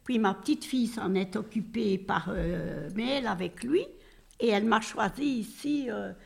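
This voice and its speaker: A woman's voice: mid-range voice, a little slow, vocal fry, husky. An older woman from Belgium, a nursing home resident chatting at length with many fillers. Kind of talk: casual interview answers.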